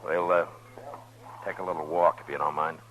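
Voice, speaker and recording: A stern American lawman of the Old West, very deep in voice. He speaks in blunt, laconic orders with steady authority. The sound is a dulled vintage radio western recording. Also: normal speed, lo-fi sound. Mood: firm, laconic. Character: stern, steady frontier US marshal of Dodge City. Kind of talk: 1950s radio western acting.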